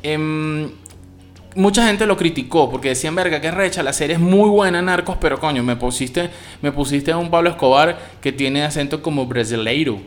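A man's voice: on the high side, normal speed, soft background music. A man from Venezuela with a Venezuelan Spanish accent, giving a casual, slangy spoken review of a TV series. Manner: slangy, expressive, swears freely.

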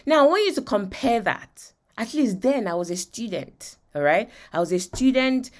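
A woman's voice: on the high side, lively intonation, moderate pace. Emphatic Nigerian woman teaching on faith. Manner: emphatic, repeats phrases and checks in with listeners. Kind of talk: motivational faith teaching with personal testimony.

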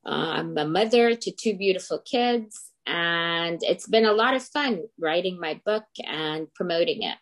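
A woman's voice: on the low side, lively intonation, a little slow, few pauses. A middle-aged Lebanese woman who grew up in Greece, talking casually as an interview guest. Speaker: guest, children's book author.

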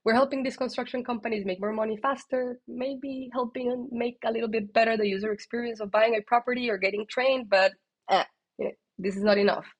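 A woman with a strong Colombian Spanish accent, a podcast guest telling her story in a lively, candid way. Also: fairly high pitch, fairly quick. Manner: lively and candid, with frequent fillers and restarts. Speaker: guest, tech executive.

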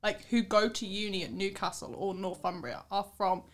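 A woman's voice: medium pitch, steady tone, moderate pace. Rambling young woman from Peterborough. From Peterborough, England. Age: young adult. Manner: rambling, goes off on tangents, laughs often. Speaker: podcast guest, architecture student. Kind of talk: casual chat.

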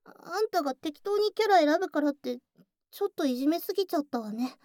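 A woman's voice: sits high, expressive, fast, darkish tone, no room sound, clean studio sound. A woman voicing a bossy, teasing tsundere childhood friend working as a cafe waitress in an intimate ASMR voice drama, often slipping into whispers. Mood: flustered, huffy. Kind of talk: Japanese ASMR situation voice drama.

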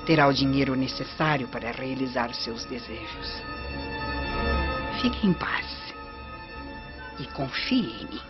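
A woman's voice: very deep voice, expressive, a little slow, pauses often. Imperious, scheming Brazilian noblewoman, muffled sound. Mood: commanding. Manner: commanding, formal. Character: imperious, scheming Roman noblewoman, coldly ambitious. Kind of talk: radio drama acting. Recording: muffled radio drama with prominent music.

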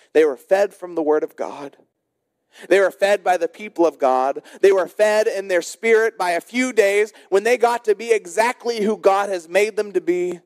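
An American man, a pastor, delivering a sermon in deliberate short phrases with rhetorical repetition. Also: high voice, darkish tone, dry recording, clean studio sound. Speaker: senior pastor.

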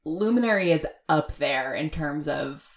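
Woman, slightly low pitch, candid, opinionated, casual interview chat, audio drama creator. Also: animated, measured pace. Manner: candid, opinionated, frequent filler "like". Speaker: audio fiction writer and producer, interview guest.

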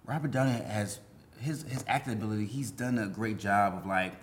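A man with a fairly low voice co-hosts a casual film podcast. He speaks rapidly, often repeating words and phrases as he builds his point. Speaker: podcast co-host.

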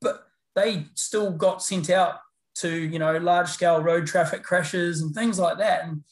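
A middle-aged man with a New Zealand accent, chatting as an interview guest in a relaxed, rambling way full of fillers and restarts. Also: a little high, measured pace. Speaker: guest, paramedicine lecturer. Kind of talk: casual interview chat. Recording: roomy echo.

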